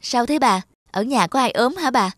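A woman's voice: a little high, lively intonation, moderate pace, fairly bright tone, no room sound, studio quality. A woman voicing a considerate younger employer, friendly, respectful and easygoing, in a cartoon dub. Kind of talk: cartoon dubbing.

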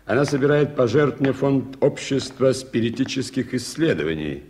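An actor playing a talkative, deferential journalist in an early 1980s Soviet radio play. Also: male voice, mid-range voice, sing-song, brisk, a little mellow, slight background noise, lightly acted. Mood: emphatic, confiding.

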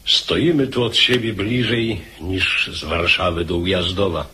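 Casting: old Polish commander, low voice, slow solemn declamation, weary pride, radio drama. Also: male voice, bright, forceful tone, slight background noise. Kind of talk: radio drama acting, archaic formal speech.

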